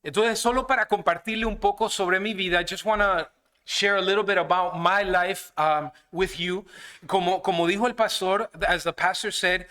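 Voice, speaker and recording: Guest preacher, man from Canada, high and bright, earnest bilingual delivery, church sanctuary. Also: fairly even intonation, moderate pace. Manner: alternates each phrase between two languages, earnest. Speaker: guest pastor. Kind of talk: bilingual sermon and prayer.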